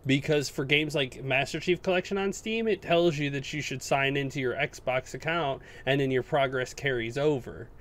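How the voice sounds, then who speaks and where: A man co-hosting a gaming podcast, chatting casually in an animated, sweary way. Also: medium pitch, moderate pace, hardly any pauses. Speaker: podcast co-host.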